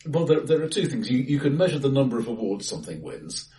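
A man co-hosting a casual film discussion, talking in a measured, analytical and somewhat hesitant way. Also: mid-range voice, big pitch swings. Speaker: podcast host. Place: indoors, reverberant room, face to face. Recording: echoey bright room, distant mic.

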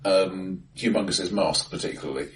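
A man with a fairly low voice discusses films in a casual podcast style. He sits slightly away from the microphone in an acoustically bright room. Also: normal speed, distant mic. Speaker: co-host of a film discussion podcast. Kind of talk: casual discussion, film commentary. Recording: bright echoey room, off-mic.

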